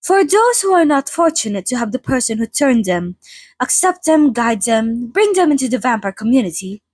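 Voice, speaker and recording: Genteel high-voiced vampire lady, played by a woman. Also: very animated, moderate pace, dry recording. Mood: gentle, sincere. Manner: polite, slightly formal, old-fashioned phrasing, gently wry. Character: genteel, chatty, sociable centuries-old female vampire being interviewed. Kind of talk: ASMR roleplay, in-character monologue to listener.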